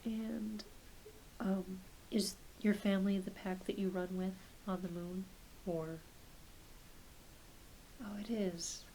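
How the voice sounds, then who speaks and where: A soft-spoken woman, often dropping into a whisper, gently playing a reassuring doctor in an ASMR roleplay. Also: mid-range voice, flat delivery, takes it slowly, long pauses, low-quality recording. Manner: gentle, reassuring, hesitant questioning. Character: kindly, attentive doctor examining a patient. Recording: hissy close home recording.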